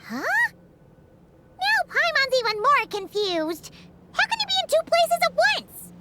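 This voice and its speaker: A woman voicing a tiny, excitable female fairy companion who talks about herself in the third person. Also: very high-pitched, measured pace, noisy background, full character voice. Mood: confused. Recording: game audio with loud music behind.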